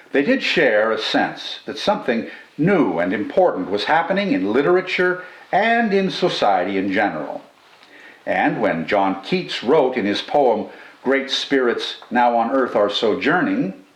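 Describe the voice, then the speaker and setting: An older man reading a scripted literary commentary and reciting verse as host, in a measured, formal and expressive manner with deliberate phrasing. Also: mid-range voice. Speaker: poetry channel host and reader. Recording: roomy indoor echo.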